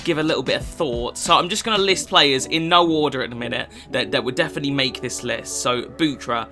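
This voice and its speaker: A man's voice: medium pitch, brisk, sharp tone, faint music behind. A twenty-one-year-old man, casually answering fan questions for his gaming channel, chatty and sweary, with many restarts. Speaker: gaming YouTuber.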